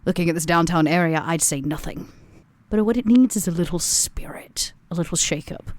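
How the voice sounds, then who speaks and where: A woman playing a shrewd, commanding, elegant vampire schemer in a tabletop game, speaking in formal, deliberate, emphatic phrases. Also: lowish voice, darkish tone, lightly acted. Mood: assured, scheming.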